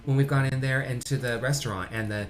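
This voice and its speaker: A man's voice: medium pitch, fairly even intonation, fast talker. A man co-hosting a casual livestream, talking in a laid-back, rambling way. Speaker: co-host of a livestream show. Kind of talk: casual chat.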